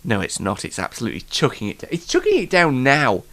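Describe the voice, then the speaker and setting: British man, slightly congested, lively swooping pitch, unrehearsed solo show host, self-mocking, rambling, mock-exasperated. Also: fast talker. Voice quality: congested, bunged-up nose. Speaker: host of a homemade online talk show. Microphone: microphone, speaking alone.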